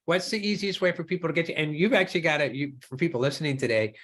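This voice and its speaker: A man's voice: fairly high pitch, lively intonation, racing, a bit bright. Man hosting a casual interview. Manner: brief encouraging reactions, casual questions. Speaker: podcast host, math teacher. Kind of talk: podcast interview.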